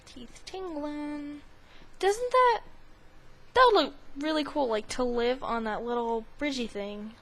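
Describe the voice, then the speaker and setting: A woman's voice: sits high, takes it slowly, fairly bright tone. A woman giving casual, silly commentary while playing a video game, with sing-song asides. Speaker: gaming video host. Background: video game sound.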